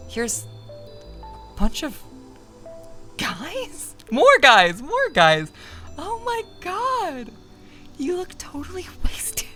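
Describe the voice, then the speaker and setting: A woman voicing an excitable, curious younger woman in a visual novel, exclamatory and teasing, with a breathy edge. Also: sits high, very slow, pauses often, faint music behind, voice pushed up, heavily acted. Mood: amazed, teasing.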